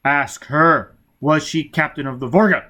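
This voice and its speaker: A man's voice: a little high, unhurried, bright, forceful tone, voice pushed up. A man voicing a ruthless, vengeful man who snaps orders with menace in an audiobook story. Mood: furious. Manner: barking orders, snapping, menacing. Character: ruthless, vengeful, furious man hunting his enemy.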